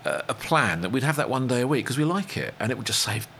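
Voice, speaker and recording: Middle-aged British man, animated pitch, casual teasing chat, jokey, light background noise. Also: fast. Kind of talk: casual banter.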